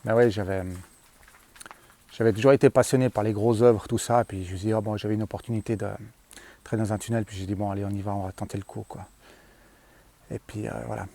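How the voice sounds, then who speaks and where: Man, lowish pitch, soft dark timbre, casual vlog storytelling, colloquial, hesitant, trailing tags. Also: fairly even intonation, fast talker, pauses often. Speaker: vlogger, former tunnel worker. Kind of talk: casual rambling storytelling to camera. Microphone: handheld at arm's length.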